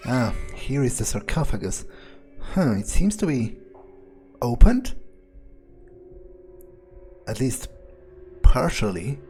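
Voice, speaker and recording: A sardonic, pragmatic male vampire, played by a man in a video game, thinking aloud. The voice sits fairly low and moves slowly, with hesitant, deadpan muttering over faint game music. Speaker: game player roleplaying a character. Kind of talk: in-character gameplay commentary, thinking aloud.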